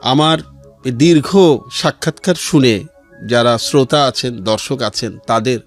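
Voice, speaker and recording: A man's voice: mid-range voice, unhurried. A middle-aged man with a Bangladeshi accent, telling his life story and giving earnest advice as an interview guest. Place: radio studio interview. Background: music behind the voice.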